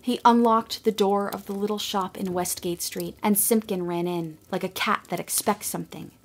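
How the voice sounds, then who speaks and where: A woman giving scripted storybook narration for a radio play, gentle and expressive, sometimes dropping to a hush. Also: mid-range voice, normal speed.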